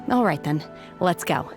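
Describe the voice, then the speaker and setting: A woman voicing a composed, reserved young lady in an anime-style video game, speaking in a calm, measured, formal way. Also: sits low. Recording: faint music behind the voice.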